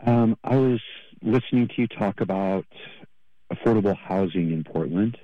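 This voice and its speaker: A man's voice: fairly low pitch, normal speed, no room sound. A man calling in to a radio show, talking hesitantly with many fillers over a telephone line. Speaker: radio caller. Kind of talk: call-in radio comment. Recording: tinny phone line.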